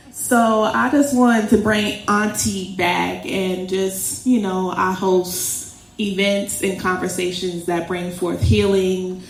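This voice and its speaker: A woman's voice: lowish voice, slight background noise. Woman introducing herself, echoey room. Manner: warm and rhythmic, uses rhetorical repetition. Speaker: community mediator and event host. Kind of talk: casual self-introduction. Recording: echoey room with faint ambience.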